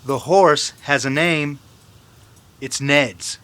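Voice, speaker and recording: Bright-voiced, stubborn cowboy sidekick. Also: male voice, fairly high pitch, slow. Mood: defensive. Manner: defensive, plain-spoken. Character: stubborn, easygoing horse-thieving cowboy sidekick. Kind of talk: old-time western radio drama acting.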